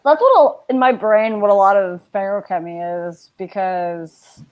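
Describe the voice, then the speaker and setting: A woman's voice: mid-range voice, measured pace, lo-fi sound. Woman explaining casually on video call. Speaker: book discussion guest. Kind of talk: casual chat. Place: living room at home, on a video call.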